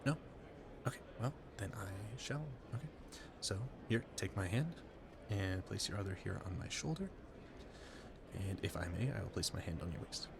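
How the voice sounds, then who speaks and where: Man acting a flustered, low-voiced romantic gentleman. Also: animated, moderate pace, creaky, noisy background. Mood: nervous, courteous.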